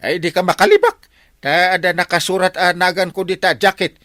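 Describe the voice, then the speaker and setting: An actor with an Ilocano accent playing a boastful, bossy middle-aged village captain in a radio comedy drama. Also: male voice, high-pitched, flat delivery, sharp tone, no room sound, clean studio sound. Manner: blustering, bossy, quick to laugh.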